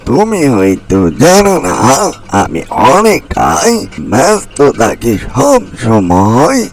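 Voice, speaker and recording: Male actor as restless crow, fairy-tale cartoon, unhurried pace, drawn-out exclamations, very animated pitch. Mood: busy, eager.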